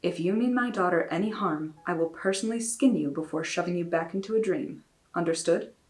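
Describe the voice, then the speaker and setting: A woman's voice: on the low side, lightly acted. A woman doing a firm, protective mother. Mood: stern. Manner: firm, measured, gently stern. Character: stern but gentle mother protecting her daughter.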